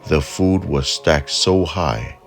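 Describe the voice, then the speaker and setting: Storyteller, man, extremely deep voice, unhurried scripted narration over soft music. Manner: measured, deliberate storytelling. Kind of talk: scripted folk-tale narration.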